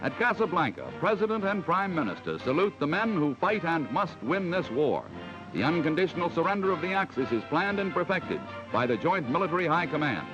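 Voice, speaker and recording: High-pitched 1940s American newsreel man. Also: big pitch swings, fairly quick. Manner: dramatic, emphatic declamatory delivery. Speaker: newsreel narrator. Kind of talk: 1940s newsreel narration, scripted. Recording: dull vintage 1940s mono film soundtrack. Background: loud music.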